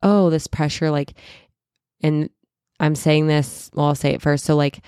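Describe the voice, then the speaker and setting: Stuffy, slightly raspy woman in her thirties. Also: low voice, fairly even intonation, a little slow, warm tone, dry recording, clean studio sound. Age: 30s. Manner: chatty, many "like"s and self-corrections. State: stuffy and a little raspy from a cold. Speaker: podcast host and wellness coach. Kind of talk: casual solo podcast chat.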